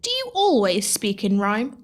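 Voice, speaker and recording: A woman's voice: medium pitch, huge pitch swings, normal speed. A woman playing a sweet, eager, put-upon young heroine in an audio pantomime, wide-eyed and earnest. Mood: excited.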